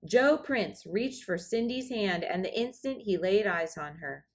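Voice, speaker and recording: Expressive woman reading a children's storybook. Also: medium pitch, a little slow. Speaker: storybook reader. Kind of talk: expressive children's read-aloud narration.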